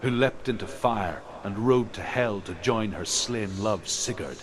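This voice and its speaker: Man acting a grave old-legend storyteller for a game, really low voice, slow n solemn, noisy ambience behind. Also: natural voice. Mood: grave storytelling. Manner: measured, solemn, sometimes hushed. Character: grave male teller of old Norse legends. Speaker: storyteller narrator. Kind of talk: scripted mythic storytelling narration.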